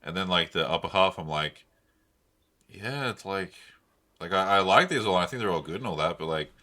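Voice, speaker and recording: Deep, bright-voiced Australian male podcaster. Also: animated, normal speed. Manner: rambling, hedging, heavy use of "like". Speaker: podcast co-host. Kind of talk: casual pop-culture podcast chat.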